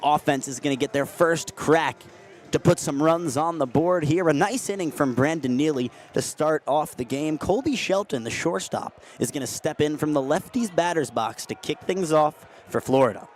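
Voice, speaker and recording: A young man calling a game as a radio play-by-play announcer, steady between plays and rising to excited shouts on big hits. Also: on the high side, moderate pace, a little hiss or hum. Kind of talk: radio sports play-by-play commentary.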